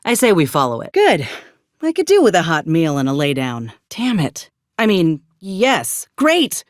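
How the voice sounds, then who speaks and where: Game companion: tough female ex-medic soldier, US drawl, dry sarcasm, animated pitch, close dry sound. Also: a little slow, no room sound. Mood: wry, businesslike. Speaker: companion character. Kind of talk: video game voice acting.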